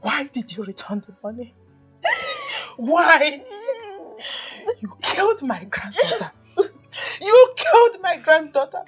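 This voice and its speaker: A woman's voice: sits high, very animated, slow, pauses often, fairly bright tone, husky, soft background music, heavily acted. An actress playing a middle-aged grieving grandmother in a screen melodrama, stern and reproachful. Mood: anguished, accusing.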